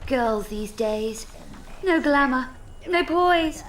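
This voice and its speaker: A woman's voice: high voice, lively intonation, slow, a little hiss or hum. A haughty, vain lady. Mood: disdainful. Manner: haughty and disdainful. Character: vain, haughty grown woman, fussy about her things. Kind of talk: game cutscene voice acting.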